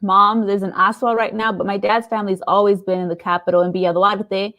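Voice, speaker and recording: A woman's voice: medium pitch, flat delivery, fairly quick, a bit bright, dry recording. A young adult woman from San Diego, chatting casually as an interview guest and laughing often. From San Diego, USA. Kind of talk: casual chat.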